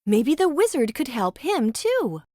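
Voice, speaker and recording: Lady reading a kids book aloud, highish and super expressive, proper storyteller style. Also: unhurried, no room sound, studio quality. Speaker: storybook narrator. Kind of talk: read-aloud scripted children's narration.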